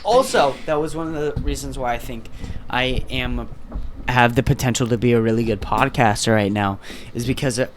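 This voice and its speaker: A man's voice: medium pitch, normal speed, slight background noise. A seventeen-year-old man hosting a casual podcast, rambling with lots of fillers and swearing. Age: teens. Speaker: podcast host. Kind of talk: casual chat.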